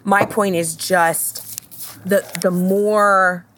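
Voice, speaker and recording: A woman hosting a casual group discussion, thinking aloud in a halting way and repeating phrases as she reasons. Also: medium pitch, fairly even intonation, a bit bright, slight background noise. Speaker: podcast host.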